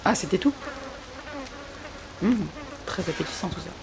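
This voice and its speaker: A woman's voice: on the low side, lively intonation, measured pace, background noise. A woman giving casual commentary while playing a horror video game, muttering and exclaiming reactions as she goes. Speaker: let's play gamer. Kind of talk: casual live gameplay commentary.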